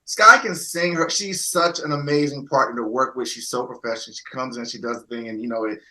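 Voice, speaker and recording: A man's voice: mid-range voice, fairly quick, sharp tone, rough recording. A man, an actor, talking enthusiastically and rambling with lots of fillers in a casual interview over a video call.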